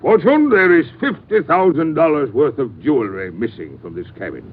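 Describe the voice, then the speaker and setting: An actor playing a strict, pompous ship captain in a 1950s radio drama. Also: male voice, a little high, big pitch swings, normal speed. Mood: stern. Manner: stiff, authoritative. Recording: tinny noisy 1950s radio broadcast.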